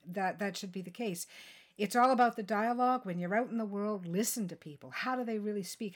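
A woman podcast host talking in a chatty, encouraging, conversational interview style. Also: mid-range voice.

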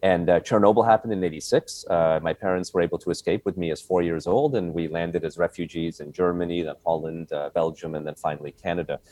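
A man in his forties from Hungary, answering interview questions casually with a halting delivery full of fillers. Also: deep voice, fairly even intonation, darkish tone, dry recording. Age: 40s. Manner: halting, many fillers and restarts. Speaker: guest, company founder.

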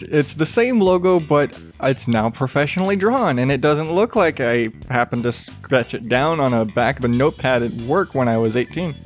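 A man hosting his own podcast in a relaxed, rambling way, with a soothing voice and a habit of swearing freely. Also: a little high. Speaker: podcast host. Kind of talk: casual solo podcast chat.

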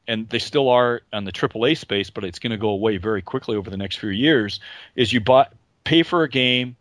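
A man's voice: fairly low pitch, a bit bright, no room sound. A man being interviewed as a guest, explaining his points in a confident, emphatic way. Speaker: game developer guest. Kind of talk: interview answers.

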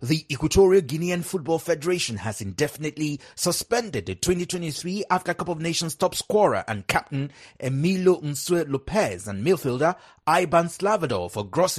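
A man's voice: a little high, sing-song, brisk, husky. A man reading scripted football news in a formal way. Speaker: sports reporter.

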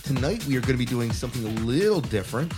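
A man's voice: medium pitch, animated, fast, over music. A man hosting a podcast, chatting casually through headlines and prompting his co-hosts. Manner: conversational, prompts co-hosts with questions. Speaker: podcast host. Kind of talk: casual chat, reading headlines.

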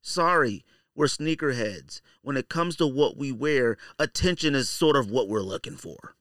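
A man's voice: medium pitch, lively intonation, normal speed, fairly bright tone, no echo, clean studio sound. A man hosting a solo podcast, talking casually and directly to listeners in slangy, opinionated speech, repeating phrases for emphasis. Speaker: podcast host.

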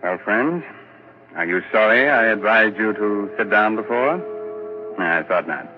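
Sly, teasing radio horror host. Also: male voice, fairly low pitch, lively intonation, unhurried. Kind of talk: 1940s radio hosting. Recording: muffled old radio broadcast with faint music.

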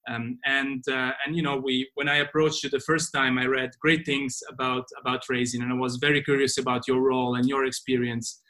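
A man's voice: mid-range voice, level tone, fairly quick, hardly any pauses. A man hosting a podcast, introducing and questioning his guest with many repeated words and restarts. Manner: stumbling repetitions, warm thanks. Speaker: podcast host. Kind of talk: casual interview hosting.

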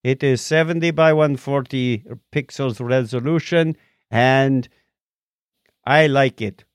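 A man co-hosting a podcast in casual chat, informal and animated, often acting out his examples. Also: medium pitch, takes it slowly, clean tone, no echo, studio quality. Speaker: podcast co-host.

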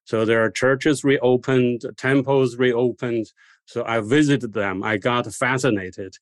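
A man in his sixties from China answers interview questions in a measured, explanatory way. His voice sits at an ordinary middle pitch. The recording is dry and close, with no room sound. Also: steady tone, no room sound. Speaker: guest scholar of religion.

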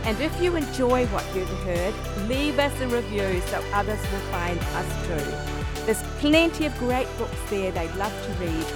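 A woman hosting a book podcast, moving between interview questions and scripted announcements. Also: medium pitch. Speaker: podcast host.

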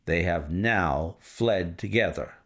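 A man voicing a calm, stern male detective who speaks in a measured, authoritative way. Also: low-pitched, pitched below the performer's own voice, exaggerated voice.

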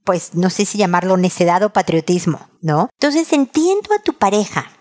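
A woman's voice: mid-range voice, very animated, moderate pace. Mexican woman host giving advice. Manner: warm, reassuring, frequent tag questions. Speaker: podcast host and psychologist. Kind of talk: reading listener letters and giving advice.

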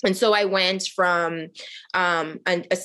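A woman's voice: lowish voice, fairly even intonation, slow, bright, forceful tone. A middle-aged woman from Haiti, a nonprofit cofounder, telling her story in an interview in an earnest, reflective way with many fillers and restarts.

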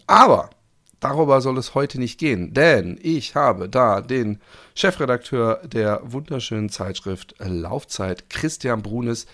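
A man's voice: mid-range voice, fairly bright tone. A man hosting a podcast in casual interview chat, joking and chatty with many fillers. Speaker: podcast host.

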